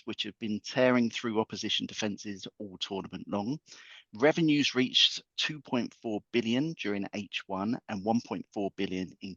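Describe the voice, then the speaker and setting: Male guest, lowish voice, joking football banter, dull dry line. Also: fairly bright tone, dry recording. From England. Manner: playful, heavy on football metaphors. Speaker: guest market analyst. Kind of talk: podcast chat.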